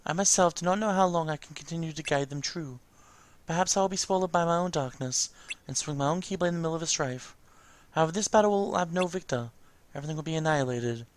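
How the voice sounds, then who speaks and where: A man voicing a solemn mentor who speaks gravely and formally in a video game story. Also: on the high side, fast, lightly acted. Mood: solemn foreboding.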